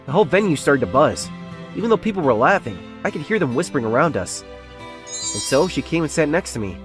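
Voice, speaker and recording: Man acting earnest, self-doubting young salesman, animated delivery. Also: fairly high pitch, brisk, faint music behind. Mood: nervous, smitten. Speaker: narrator and lead character of a romance comic dub. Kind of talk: first-person dramatised narration.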